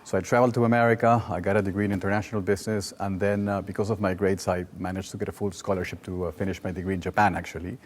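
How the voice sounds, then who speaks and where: A man's voice: deep voice, flat delivery, rapid-fire, hardly any pauses, slight background noise. A man in his forties from Peru, a native Spanish speaker with a Peruvian accent, talking conversationally as an interview guest. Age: 40s. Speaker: well-being coach and guest. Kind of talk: conversational personal storytelling in an interview.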